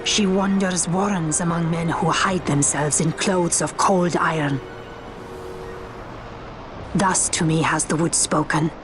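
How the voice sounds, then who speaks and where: A woman playing a stern, mystical female forest elder in a fantasy game, speaking in a measured, formal, oracular way. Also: fairly low pitch, steady tone. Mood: solemn, cold. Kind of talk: scripted fantasy game acting. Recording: music behind the voice.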